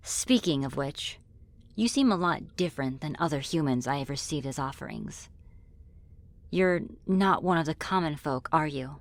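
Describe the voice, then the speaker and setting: Low-voiced, gentle friendly demoness played by a woman. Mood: flirty. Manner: gentle, reassuring, conversational with hesitant pauses. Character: friendly, flirty, apologetic female demon ruling her own realm. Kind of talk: ASMR roleplay spoken to the listener.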